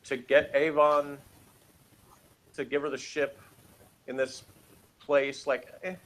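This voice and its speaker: A man's voice: a little high, level tone, slow pace, fairly bright tone, poor audio. A man giving a casual, opinionated review as a podcast co-host, rambling and emphatic in an exasperated way.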